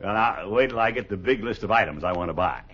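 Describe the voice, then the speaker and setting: Casting: pompous middle-aged official, flustered and blustery, widely swooping pitch, vintage radio comedy. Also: male voice, expressive, quick pace, fairly bright tone. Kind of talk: 1950s radio comedy acting. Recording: dull, faintly noisy old radio recording.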